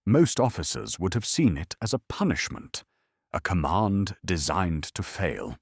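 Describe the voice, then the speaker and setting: A man narrating a scripted history bedtime story in a calm, measured storytelling manner. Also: low-pitched, creaky, husky, no room sound, clean studio sound.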